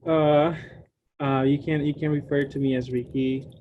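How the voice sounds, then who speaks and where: Man, 20s, from Puerto Rico, Spanish native speaker, halting online lecture, dull lo-fi sound, faint hiss. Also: on the high side, little pitch movement. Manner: halting, many fillers and restarts. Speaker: graduate student speaker. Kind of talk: online science talk. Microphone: video call.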